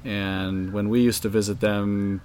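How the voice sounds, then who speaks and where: A man's voice: on the low side, flat delivery, a little slow, darkish tone, slight background noise. A man in his forties from California, a travel blogger answering interview questions in short, matter-of-fact sentences with fillers.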